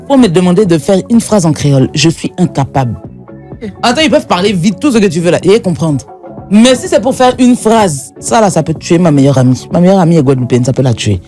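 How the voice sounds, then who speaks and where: A woman talks on a radio show with a lively, swooping voice and often breaks into laughter as she tells her stories. Soft music plays under the conversation. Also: fairly low pitch, fast talker. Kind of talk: casual radio chat.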